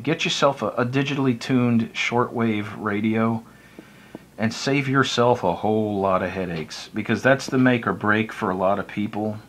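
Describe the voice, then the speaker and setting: A man giving a casual, unscripted hobby explainer in a folksy, rambling, self-deprecating way. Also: medium pitch. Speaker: hobbyist video presenter.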